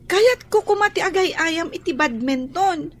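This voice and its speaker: A woman's voice: extremely high pitch, expressive, measured pace, a little hiss or hum. A woman with an Ilocano accent playing an affectionate young child who is devoted to a sibling, in a radio drama. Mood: tender, playful.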